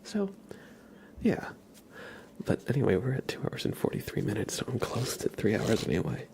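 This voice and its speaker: A man doing a live ASMR stream, chatting casually with viewers in a soft, breathy whisper and rambling conversationally. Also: fairly low pitch, sing-song, moderate pace, background noise. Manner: rambling, conversational, addresses chat by name.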